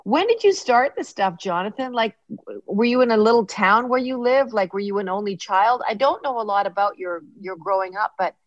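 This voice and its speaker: A woman's voice: mid-range voice, dry recording, muffled recording. A woman hosting an interview, chatting and rambling into stories with her guest. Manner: chatty, warm-hearted, rambling storyteller. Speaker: podcast host. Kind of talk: casual interview chat.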